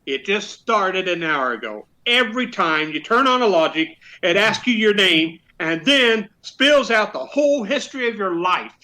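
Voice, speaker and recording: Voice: medium pitch, animated, normal speed, hardly any pauses, bright, forceful tone. An actor playing a bossy, exasperated police sergeant in a radio comedy, blustering, sarcastic and emphatic. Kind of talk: old-time radio comedy acting.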